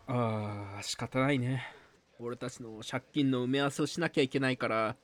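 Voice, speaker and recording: A man's voice: mid-range voice, steady tone, moderate pace, natural voice. A man playing a cynical, scheming friend who teases bluntly in an amateur comedy radio drama. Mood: resigned, scheming. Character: cynical, teasing, scheming male mahjong buddy.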